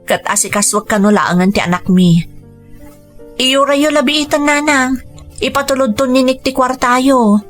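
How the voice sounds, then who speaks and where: A woman's voice: high voice, normal speed, soft background music, lightly acted. A woman playing an apologetic, dutiful grown daughter in an Ilocano radio drama, placating and deferential. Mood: pleading, placating. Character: apologetic, dutiful adult daughter pleading for patience.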